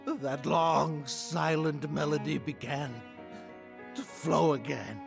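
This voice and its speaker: An actor playing an aged, guilt-ridden male troupe leader in a game cutscene, speaking slowly and solemnly, with music behind him. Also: a little high, steady tone, gravelly. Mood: mournful. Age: older. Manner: slow, brooding and solemn.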